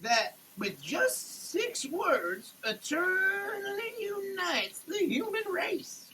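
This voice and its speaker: A performer giving a halting, theatrical, declamatory dramatic reading in a running shower. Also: extremely high pitch, very animated, background noise. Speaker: solo sketch performer. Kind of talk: dramatic reading of a product label. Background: running water.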